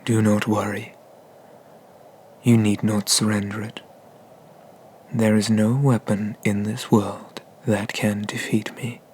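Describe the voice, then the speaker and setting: A man voicing an ancient, haughty and menacing dragon god of the sea in a breathy, pompous whisper for an ASMR roleplay. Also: on the low side, flat delivery, darkish tone, slight background noise. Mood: mocking, menacing. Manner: slow, pompous, condescending, with drawn-out pauses.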